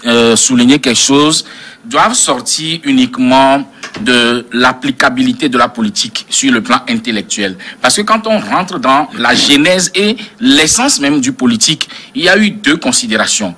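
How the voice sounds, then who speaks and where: Bright-voiced man giving political analysis. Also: medium pitch, lively intonation, moderate pace. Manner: professorial, long-winded, erudite references. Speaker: political analyst, panel guest. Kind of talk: political debate commentary.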